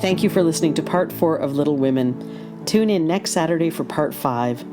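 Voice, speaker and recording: A woman's voice: deep voice, moderate pace. A woman reading a scripted closing announcement for an audio play. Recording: loud guitar music behind. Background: loud plucked guitar.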